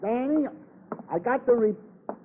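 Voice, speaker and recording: An actor playing an earnest, hesitant police sergeant in an old radio crime drama. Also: male voice, extremely high pitch, lively intonation, full character voice. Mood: flustered, earnest. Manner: hesitant, halting, deferential. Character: earnest, flustered police sergeant reporting findings. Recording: tinny, faintly noisy old radio recording.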